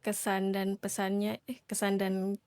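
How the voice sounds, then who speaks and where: A young woman with a South Sulawesi accent, answering interview questions softly and hesitantly, often repeating her words. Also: mid-range voice, monotone, a little slow, clean tone. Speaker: new civil servant guest.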